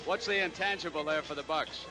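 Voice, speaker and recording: A man's voice: a little high, fairly quick, music in the background. A man hosting a pregame football show, bantering and joking with his panel. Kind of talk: studio sports broadcast.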